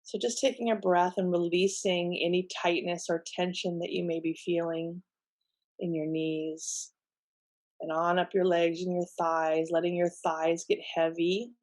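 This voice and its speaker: A woman leading a guided relaxation in a gentle, unhurried, soothing manner with pauses between instructions. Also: on the low side, little pitch movement. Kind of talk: guided meditation, softly instructive.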